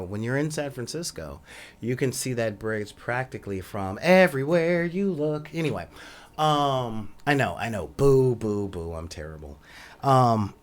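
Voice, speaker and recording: A soft-spoken man hosting a podcast, talking casually in a rambling monologue full of ums and asides. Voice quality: says he has a high voice. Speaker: podcast host.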